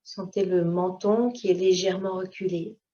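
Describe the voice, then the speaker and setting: Woman guiding meditation, dull lo-fi recording. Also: on the low side. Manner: calm, unhurried, gently instructing in short phrases. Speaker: yoga teacher. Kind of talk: slow guided yoga nidra meditation. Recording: dull, lo-fi sound.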